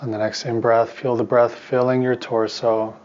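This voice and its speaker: A man guides a meditation in a soft, mid-pitched voice with very little melodic movement. He gives calm, soothing instructions in short phrases with space between them. The recording is somewhat muffled and lo-fi. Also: moderate pace. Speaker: meditation teacher and therapist.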